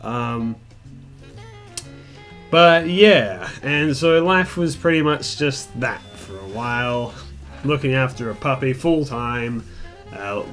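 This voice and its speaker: A young man with a medium-pitched voice chats casually as if catching up with his viewers. He rambles candidly, self-deprecating and a little sweary, while calm guitar music plays behind him. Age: young adult. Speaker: gaming video creator telling a personal story. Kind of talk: casual storytime chat over gameplay.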